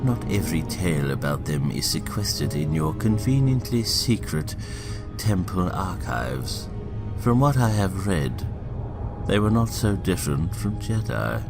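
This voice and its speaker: A man in a fan audio drama playing an elderly, cunning politician, speaking in a measured, theatrical, insinuating way with a gravelly voice. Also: low voice, expressive. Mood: persuasive, insinuating. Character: elderly, cunning politician, smooth, avuncular and manipulative. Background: music.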